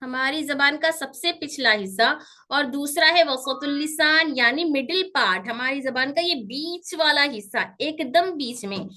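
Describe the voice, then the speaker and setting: Woman tutor, high and bright, patient, repeats terms, quizzes students. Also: measured pace. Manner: patient, repeats each term with its English gloss; checks on students with questions. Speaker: teacher of Quranic pronunciation. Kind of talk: online class lecture.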